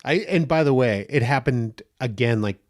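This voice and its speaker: Gentle, higher-voiced man, animated storyteller. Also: moderate pace. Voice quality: slightly high, gentle voice. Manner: animated storyteller, laughs often, acts out quotes. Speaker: guest actor. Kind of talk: casual podcast chat.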